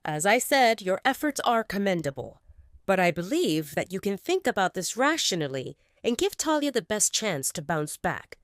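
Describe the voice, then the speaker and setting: A woman voicing a calm, persuasive male alpha of a rival pack who talks in a measured, reasoning way. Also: mid-range voice, no echo, studio quality, natural voice.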